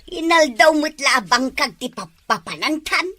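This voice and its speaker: A woman with an Ilocano accent, high and bright, chatting and teasing in a village comedy skit. She talks freely and gossips. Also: lively intonation, measured pace, soft background music.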